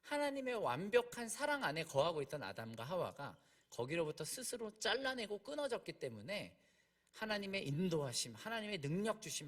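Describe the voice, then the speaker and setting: A man preaching a conversational sermon, explaining as he goes, repeating key points and posing rhetorical questions. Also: high-pitched.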